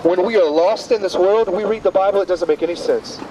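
A man's voice: high voice, a little fast, warm tone. A man in his thirties preaching earnestly at a busy outdoor bus stop, pleading directly with passers-by. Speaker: street preacher. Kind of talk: open-air street preaching. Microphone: far camera, no visible mic. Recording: distant outdoor camera audio with street noise.

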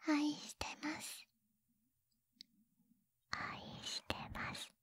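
A woman's voice: a bit bright, no room sound. A woman playing a sweet, lovestruck young librarian in an ASMR roleplay, whispering breathily and tenderly, close to a binaural mic. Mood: adoring. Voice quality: breathy, mostly unvoiced whisper. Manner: tender, slow, intimate, polite. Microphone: close binaural microphone.

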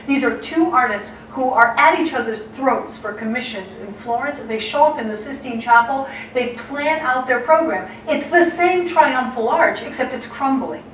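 American woman, art history lecture, lively asides, higher voice, echoey hall, distant mic. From United States. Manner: lively, quick asides, acts out quoted figures. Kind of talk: illustrated lecture to clergy. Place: large dim auditorium with projector screen. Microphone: distant room mic, speaker at lectern far from camera. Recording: echoey hall from far off, faint hiss.

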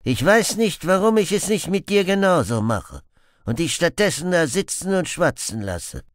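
A man voicing a grim, cruel stranger in an audiobook, gruff, harsh and curt. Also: sits high, very animated, normal speed, dry recording, clean studio sound, pitched above the performer's own voice. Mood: menacing contempt. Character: menacing, grim male stranger, cruel villain in horsehide.

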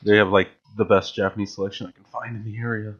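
A young man talks into a desk microphone in a small room at home, chatty and keen, with the odd restart. His voice sits on the lower side. The recording is dull and lo-fi. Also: little pitch movement, fast talker, fairly bright tone, slight background noise. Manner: chatty, enthusiastic, with frequent filler restarts. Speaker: vlogger. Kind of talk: casual grocery haul vlog. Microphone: studio mic on a stand, wearing headphones.

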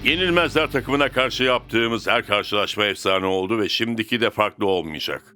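A forceful, wise football coach. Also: male voice, on the low side, normal speed, soft background music. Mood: assured. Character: calm, wise male football team coach. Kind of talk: animated cartoon dub.

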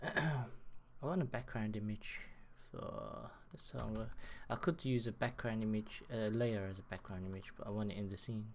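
A man in his thirties giving a casual live software tutorial from his desk, speaking through a headset and thinking aloud as he works. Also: on the low side, sing-song, gravelly, a little hiss or hum. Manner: slow, halting, muttering while working. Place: small room at a computer desk.

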